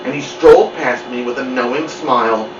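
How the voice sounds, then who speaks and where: A man reading a children's book aloud in an animated storytelling style. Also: medium pitch, normal speed, noisy background. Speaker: story-time reader. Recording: muffled, roomy, distant mic.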